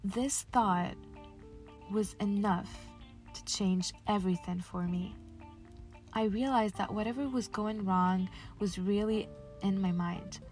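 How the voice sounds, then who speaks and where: A woman's voice: mid-range voice, takes it slowly, a little mellow, faint music behind. A woman, hosting a scripted motivational podcast in an earnest, encouraging way. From Casablanca, Morocco. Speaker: podcast host.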